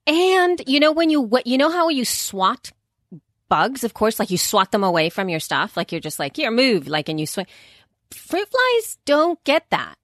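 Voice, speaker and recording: Animated woman born in El Salvador. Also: normal speed, dry recording, studio quality. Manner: animated, swoops up in pitch when exasperated. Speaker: podcast co-host. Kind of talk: casual chat.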